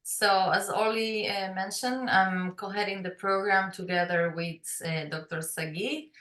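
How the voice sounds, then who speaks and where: A woman's voice: mid-range voice, fairly even intonation, a little slow, a bit bright, reverberant. A woman with a Uruguayan Spanish accent, co-head of an academic program, explaining it in an online presentation with frequent hesitations. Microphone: video call.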